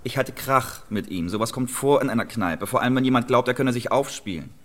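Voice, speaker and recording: A man's voice: mid-range voice, brisk, fairly bright tone, close to an everyday voice. An actor in a radio play voicing a quarrelsome, sarcastic playwright accused of murder, arguing his innocence in repetitive phrases. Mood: defiant denial.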